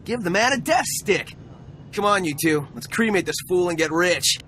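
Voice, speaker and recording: An actor voicing a manic, sneering, bloodthirsty bounty hunter in a video game, taunting and excitable. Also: male voice, sits high, normal speed, a little hiss or hum.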